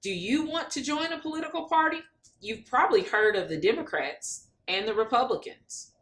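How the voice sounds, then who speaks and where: A woman reading a children's picture book aloud in an expressive, deliberate way, speaking directly to young listeners. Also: fairly high pitch. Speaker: storybook reader. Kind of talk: animated children's read-aloud.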